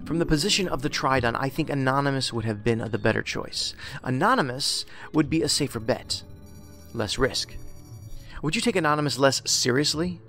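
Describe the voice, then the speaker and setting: An actor playing an analytical, pedantic federal investigator in an audio drama, with precise, clipped, probing speech. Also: male voice, medium pitch, expressive, moderate pace, a bit bright, faint music behind. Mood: probing, detached.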